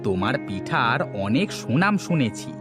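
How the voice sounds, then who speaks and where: A man's voice: mid-range voice, sing-song, normal speed, loud music behind the voice, close to an everyday voice. A man voicing a kindly, reassuring village uncle in a cartoon story, with genial, emphatic delivery. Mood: genial, impressed. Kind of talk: Bengali animated story dubbing.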